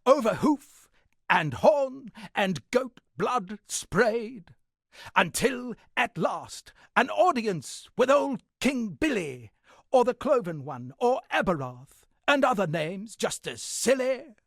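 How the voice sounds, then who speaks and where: An actor playing a self-satisfied town poet and storyteller in a video game, speaking in a florid, dramatic manner with a gravelly, husky voice. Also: male voice, extremely high pitch, sing-song, slow, a bit bright, no echo, clean studio sound, heavily acted. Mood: grand declaiming. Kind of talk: theatrical game dialogue with declaimed verse.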